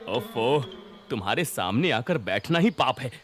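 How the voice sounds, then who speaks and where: A man performs a greedy, irritable village milkman in a kids' cartoon. His delivery is lively and swooping, full of nervous stammers and exclamations, with music playing underneath. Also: medium pitch, unhurried. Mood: irritated. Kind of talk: children's moral story cartoon dubbing. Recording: music behind the voice.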